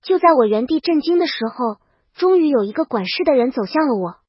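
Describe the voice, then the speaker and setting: A woman's voice: high voice, expressive, fairly quick, no room sound, muffled recording. A woman reading a scripted first-person comic story as a princess, with dramatic, wry delivery. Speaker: narrator.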